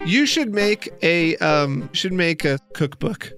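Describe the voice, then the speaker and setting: Male podcast host and producer, a bit higher in pitch, conversational delivery, light music bed. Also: very animated, slow, a bit bright. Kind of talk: conversational interview and scripted credits narration.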